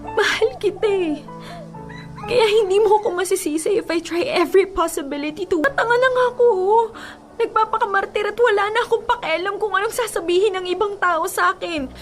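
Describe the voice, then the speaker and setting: Very high-pitched, desperate heartbroken young woman. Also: moderate pace. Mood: pleading. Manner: pleading, tearful, emotional. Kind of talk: radio drama acting in Taglish. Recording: music under the voice.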